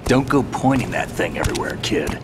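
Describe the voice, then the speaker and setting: An actor playing a cool, seasoned soldier in a video game, laconic and self-assured. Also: male voice, low voice, sing-song, fairly quick, over music. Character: cool, seasoned male soldier, calm and dry. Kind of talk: video game cutscene acting.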